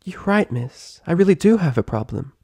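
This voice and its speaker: A hyperactive young female pony acted out by a man gushes through a story in long, rambling runs. The voice is pushed high and swings up and down like an excitable cartoon party girl. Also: normal speed, husky, no echo, clean studio sound, heavily acted. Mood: solemn, anguished. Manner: gushing, rambling, endless run-on chatter. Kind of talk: animated fan fiction character reading.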